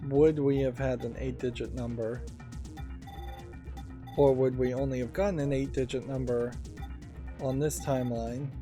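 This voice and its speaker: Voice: mid-range voice, mellow. A let's play host giving casual game commentary, musing and thinking aloud while working through a puzzle game. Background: game music.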